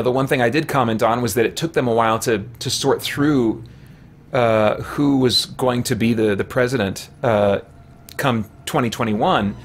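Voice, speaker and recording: A man in his thirties or forties chatting casually on a game livestream, thinking aloud in a halting way. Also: mid-range voice. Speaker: video game live streamer. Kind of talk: casual livestream chat while gaming. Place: small indoor room with shelves.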